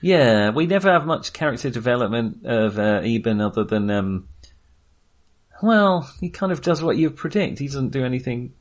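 A man talks casually as a film podcast co-host. His intonation is highly animated, rising and dipping often. He hedges and apologises as he goes, picking his way through his opinions. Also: moderate pace.

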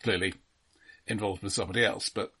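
A man's voice: on the low side, fairly even intonation, fairly quick, bright, forceful tone, breathy edge. A man co-hosting a casual film discussion, offering his observations conversationally. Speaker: film podcast co-host.

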